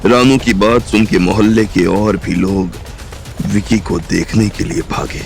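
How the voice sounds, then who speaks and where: A man narrates an audiobook drama slowly and deliberately, his low voice full of gravel. Soft music plays behind him the whole time. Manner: slow, measured storytelling.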